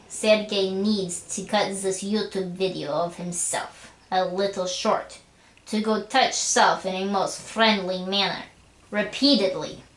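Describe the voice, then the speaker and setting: A man's voice: high voice, fairly bright tone, voice pushed up. A man voicing a boastful, crude Russian man in a comic monologue, with an exaggerated mock Russian accent and broken grammar. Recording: roomy echo with faint music.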